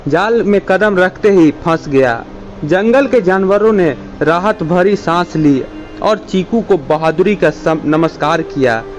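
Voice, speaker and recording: A man reading scripted moral stories for children in a simple, measured storybook manner. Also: a little high.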